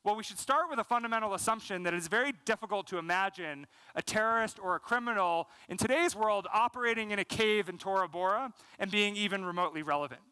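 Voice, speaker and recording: A man's voice: sits high, fast, few pauses, clean tone, no room sound. A man in his thirties with an American accent giving a conference keynote on a large stage, speaking fluently with frequent "um" and "you know" and gesturing as he talks. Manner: energetic, gesturing while speaking. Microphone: headset mic.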